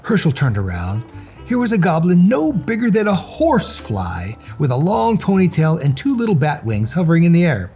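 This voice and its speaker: Gravelly man reading a children's story. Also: on the high side, wildly swooping pitch, normal speed, warm tone, faint music behind. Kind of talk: animated children's read-aloud.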